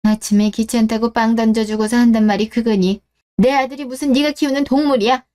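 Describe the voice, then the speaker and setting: A woman's voice: fairly high pitch, fairly even intonation, a little fast, mellow, close to an everyday voice. A woman playing a scornful, possessive middle-aged mother-in-law in a scripted radio drama, scolding and accusing. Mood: furious, scornful.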